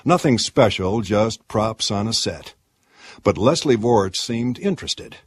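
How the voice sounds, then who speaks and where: A man narrating first-person detective fiction in a measured, deliberate storytelling manner. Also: fairly low pitch, lively intonation, breathy edge, dry recording. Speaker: audiobook narrator.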